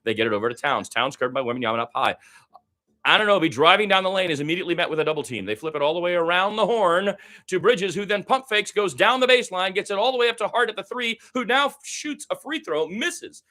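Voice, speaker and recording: A man giving live radio-style basketball play-by-play, excitable in fast action and conversational between plays. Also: high-pitched.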